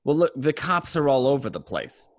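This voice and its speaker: A man's voice: mid-range voice, big pitch swings, normal speed, close to an everyday voice. A man playing an anxious, insistent mobster in an amateur read of a film script, urgent and hesitant. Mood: worried, pressing. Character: anxious, insistent mobster Henry seeking answers.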